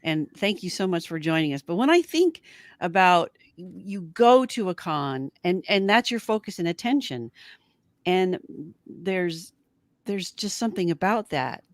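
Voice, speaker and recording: Low-pitched woman chatting on a livestream. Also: sing-song, unhurried, no echo, studio quality. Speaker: co-host of a fan show. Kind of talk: casual livestream chat.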